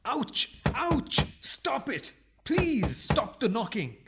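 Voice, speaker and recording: A man's voice: very high voice, huge pitch swings, drawn-out words, background noise, heavily acted. A man acting a meek, whining, apologetic husband caught hiding, in a farcical radio play. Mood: pained, whining. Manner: whiny, apologetic.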